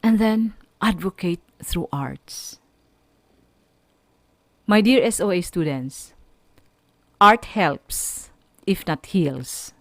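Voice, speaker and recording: Filipino woman teaching, slow and dry-sounding. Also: fairly low pitch, animated, fairly bright tone, no room sound. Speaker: radio teacher. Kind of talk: instructional radio lesson, addressing students.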